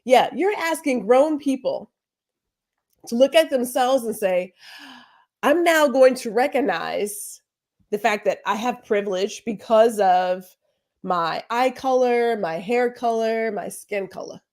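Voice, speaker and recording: Podcast co-host, woman, fairly high voice, animated and emphatic delivery. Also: slow pace. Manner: animated, emphatic storytelling with rhetorical questions. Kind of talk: casual conversation.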